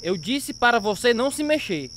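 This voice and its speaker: A man's voice: extremely high pitch, very animated, moderate pace, fairly bright tone, full character voice. An actor playing a tense, bossy military policeman in a radio drama, barking his orders. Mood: aggressive, suspicious.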